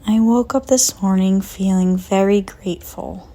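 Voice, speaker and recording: A woman narrating a guided visualization in a soft, breathy voice that often nears a whisper, calm and gentle with long pauses. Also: mid-range voice. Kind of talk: slow guided visualization, soothing scripted narration.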